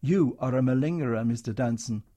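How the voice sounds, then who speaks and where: A man's voice: mid-range voice, brisk, mellow, natural voice. A man voicing a stern wartime hospital matron, firm and cutting.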